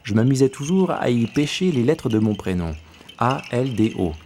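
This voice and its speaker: A man from South Africa giving measured, reflective scripted narration as a documentary narrator. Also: mid-range voice, very animated. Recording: voice-over with clear background noise.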